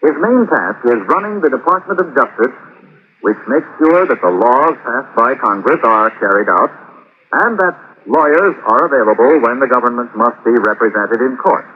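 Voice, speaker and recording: A man's voice: mid-range voice, animated, normal speed, breathy edge. A man with an American accent reading a scripted 1950s public-service announcement on a tinny old radio recording.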